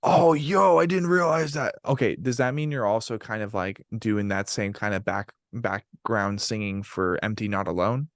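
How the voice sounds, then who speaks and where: A man hosting a podcast interview who talks in an excitable way, laughing often, swearing and calling his guest "bro". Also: on the low side, normal speed, hardly any pauses, dry recording, studio quality. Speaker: podcast host and vocalist.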